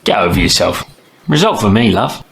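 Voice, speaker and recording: A man's voice: on the low side, lively intonation, moderate pace, creaky, a bit bright. A man playing a bitter, reckless young student son in an audio drama, clipped, sullen and sweary. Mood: sullen defiance.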